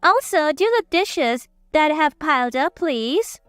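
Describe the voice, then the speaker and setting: Storybook role: a woman doing a high, sharp-voiced bossy older mother-in-law, cold and contemptuous. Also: expressive, slow, no room sound, faint music behind, exaggerated voice. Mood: cold, commanding. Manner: commanding, contemptuous.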